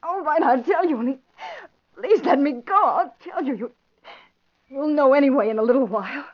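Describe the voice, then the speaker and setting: Pleading female newspaper reporter, high register, halting and theatrical, dull vintage radio recording. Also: expressive. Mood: pleading, nervous. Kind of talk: 1940s radio drama acting. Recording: muffled old radio recording.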